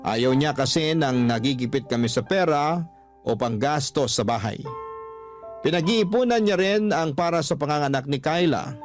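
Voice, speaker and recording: A man narrating a first-person story as a radio storyteller, with music behind him. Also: mid-range voice. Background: faint music.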